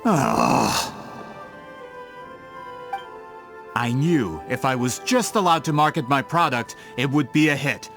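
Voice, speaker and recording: An actor playing a scheming, vain merchant in a video game, with a theatrical, pompous, indignant manner. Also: male voice, mid-range voice, expressive, measured pace, gravelly, a bit bright, faint music behind. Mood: bitter. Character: scheming, vain male merchant with grand business ambitions.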